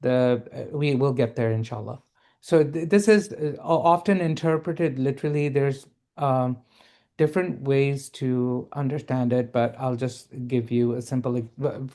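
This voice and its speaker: A man teaching a reading lesson, explaining slowly and patiently and checking often that his students follow. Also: medium pitch, dark tone. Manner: patient, explaining, checks understanding with "right?" and "okay?". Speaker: Arabic teacher.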